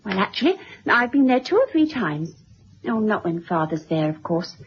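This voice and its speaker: An actress playing a lively, worldly young elder sister in a radio drama, with a breezy, gushing, emphatic manner. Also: female voice, medium pitch, normal speed, a little hiss or hum. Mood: excited, confiding. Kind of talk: period radio drama acting. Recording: dull, muffled old radio recording.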